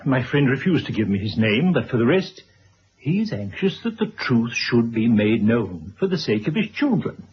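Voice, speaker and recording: An actor playing a courtly, kindly older gentleman in a radio drama, speaking formally and hesitantly. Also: mid-range voice, sing-song, normal speed. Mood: sombre, regretful. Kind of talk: 1970s radio drama acting. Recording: dull old radio recording, clear noise.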